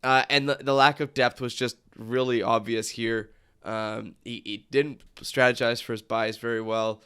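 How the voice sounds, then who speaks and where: A man's voice: mid-range voice, fairly even intonation, normal speed, bright, forceful tone, smooth. Congested man hosting a sports podcast. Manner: rambling, lots of fillers and restarts. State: congested from a cold. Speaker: podcast host. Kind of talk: casual sports podcast talk.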